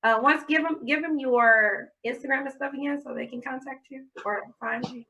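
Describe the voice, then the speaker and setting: A woman's voice: high voice, steady tone, moderate pace, vocal fry, bright, forceful tone, slight background noise, rough recording. Chatty woman hosting a podcast. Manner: encouraging, chatty, fumbles phrasing. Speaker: podcast host. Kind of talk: casual podcast interview over video call. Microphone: video call.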